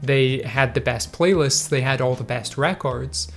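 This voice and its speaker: A man's voice: mid-range voice, unhurried, creaky, faint music behind. A man hosting his own video, talking through viewer comments in a thoughtful, hesitant way with many fillers and restarts. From Scotland. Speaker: video host. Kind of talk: casual reflective commentary.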